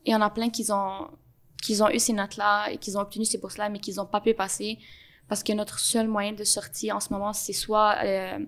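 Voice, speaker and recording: A young woman with a Quebec French accent explains things earnestly, pausing often on "euh" as she gathers her thoughts. Her pitch sits mid-range for a woman and barely rises or falls, which gives her an even, level delivery. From Montreal, Canada. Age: young adult. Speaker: podcast guest. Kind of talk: interview answers.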